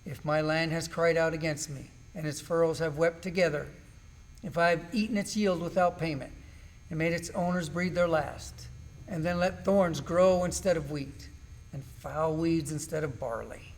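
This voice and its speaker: A man performs a suffering, righteous man from a Bible drama. His voice sits fairly high for a male, and he declaims his grievance and innocence in solemn, measured phrases. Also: natural voice, rough recording. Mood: solemn oath. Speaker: amateur actor in a church class drama. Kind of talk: dramatized scripture recitation.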